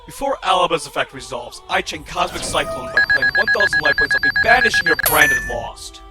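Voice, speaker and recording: Fierce, high-pitched anime duelist man acting. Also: expressive, fairly quick, loud music behind the voice, close to an everyday voice. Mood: calculating, assured. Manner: theatrical, grandiose declarations. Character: fierce, righteous male card duelist rival. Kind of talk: fan roleplay anime-style duel acting.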